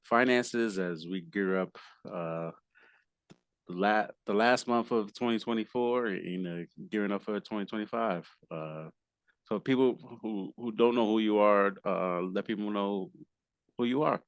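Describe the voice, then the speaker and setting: A hoarse man hosting a podcast, his voice sitting very low. He rambles casually through his intro, full of ums and uhs and false starts, and the sound is dry and close. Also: measured pace, dry recording. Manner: rambling with many fillers and restarts. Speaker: podcast host.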